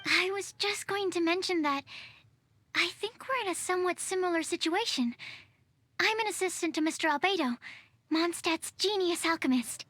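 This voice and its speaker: A woman's voice: extremely high pitch, moderate pace, fairly bright tone, no echo. A woman voicing a shy, polite young female alchemist's assistant in a game cutscene, timid and formal.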